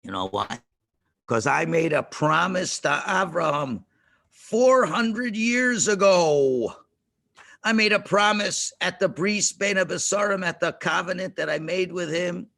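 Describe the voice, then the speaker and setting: A man voicing a deliberate, confiding God in a children's Bible story. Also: sits high, creaky, a bit bright, full character voice.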